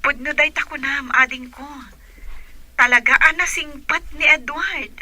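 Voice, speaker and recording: A playful village older sister with an Ilocano accent. Her high voice is strikingly sharp and forward, in the manner of a radio comedy drama. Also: measured pace, full character voice.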